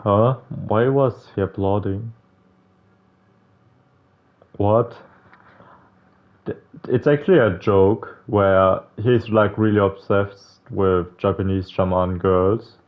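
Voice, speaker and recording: Slow-talking, low-voiced man on a dull mic. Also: fairly even intonation. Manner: informal, slangy, swearing. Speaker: video game playthrough host. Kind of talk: reading visual novel text aloud with casual commentary.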